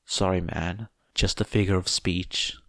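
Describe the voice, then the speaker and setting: A man's voice: deep voice, fairly even intonation, a little slow, a bit bright, dry recording. A man voicing a casual, slangy, slightly defensive teenage boy in a story reading. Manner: slangy, loose, speculative.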